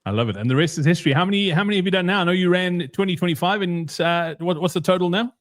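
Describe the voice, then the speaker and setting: A man with a South African accent and a notably high voice hosts a podcast. He talks in a chatty, informal way, repeating phrases as he goes. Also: racing. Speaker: podcast host. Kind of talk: casual podcast interview.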